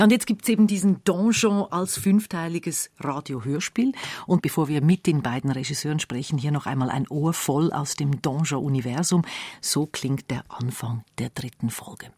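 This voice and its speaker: A man's voice: on the high side, moderate pace, darkish tone, no echo. A man presenting a radio magazine and interviewing guests in a studio.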